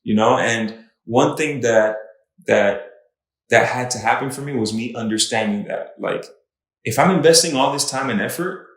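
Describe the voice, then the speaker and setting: A man who works as a fitness trainer, chatting on a podcast in an enthusiastic, encouraging way. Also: medium pitch. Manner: enthusiastic, encouraging, quick to affirm. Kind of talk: casual chat. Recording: echoey room.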